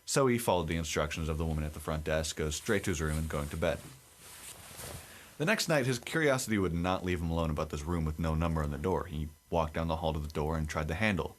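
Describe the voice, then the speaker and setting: Super deep-voiced young guy on a podcast, radio kind of voice, reads a spooky story steady. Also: fast talker, slight background noise. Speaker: podcast co-host. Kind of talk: casual chat and reading a story aloud.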